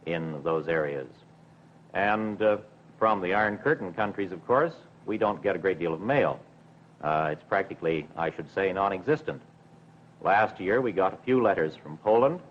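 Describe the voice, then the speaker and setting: A middle-aged man, a broadcasting official, answering in a measured, careful, formal way with some hesitation in a 1950s television interview. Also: on the low side. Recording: dull 1950s television recording with hum.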